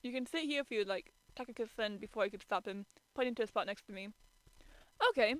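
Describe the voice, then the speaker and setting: A woman's voice: fairly high pitch, quick pace, dry recording, poor audio. A woman doing an amateur dramatic reading on a stream, stumbling over words and theatrically exasperated. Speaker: streamer reading fan fiction aloud.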